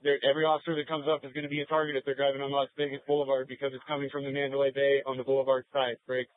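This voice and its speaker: A man's voice: mid-range voice, completely flat delivery, racing, no room sound. A male police officer giving an urgent warning over a police radio. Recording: tinny, dry police scanner radio.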